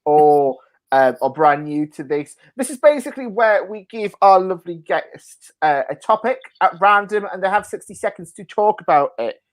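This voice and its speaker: A woman hosting a panel game in a playful, excitable, teasing manner. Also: fairly low pitch, normal speed. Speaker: host of a panel show.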